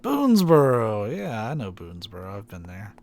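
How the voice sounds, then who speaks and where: A man's voice: on the low side, wildly swooping pitch, moderate pace, darkish tone. A man from Kentucky chatting casually while playing a game on stream, rambling with lots of fillers and restarts. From Kentucky, USA.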